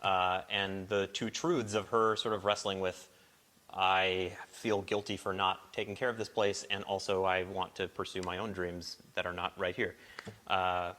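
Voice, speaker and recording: A man casually introducing a live performance in a barn, explaining things in a halting, thoughtful way. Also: low-pitched, little pitch movement, sharp tone. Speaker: performer introducing an audio play. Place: barn, small live gathering.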